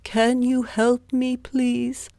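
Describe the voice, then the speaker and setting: A woman's voice: a little high, little pitch movement, extremely slow, gravelly, mellow, no room sound, pitched above the performer's own voice, exaggerated voice. A woman voicing a poor, hungry man who begs politely and pleadingly in a bedtime story.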